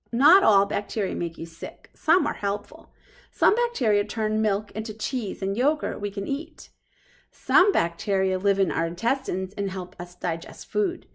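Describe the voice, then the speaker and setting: Female storybook reader, mid-range voice, expressive delivery aimed at little kids. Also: medium pitch. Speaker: reader of a children's picture book read-aloud. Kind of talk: children's storybook read-aloud.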